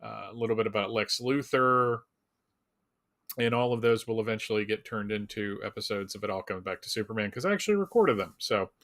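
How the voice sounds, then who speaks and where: A man's voice: lowish voice, animated, a little fast, fairly bright tone. A man co-hosting a live fan show in a casual, joking way, with wry, self-deprecating humor.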